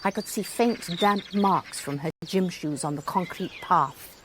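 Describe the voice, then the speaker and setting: An actress playing an observant, self-deprecating woman who narrates a radio drama in a reflective, expressive way. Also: fairly low pitch, unhurried, heavy background noise, close to an everyday voice. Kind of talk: first-person radio drama narration and dialogue.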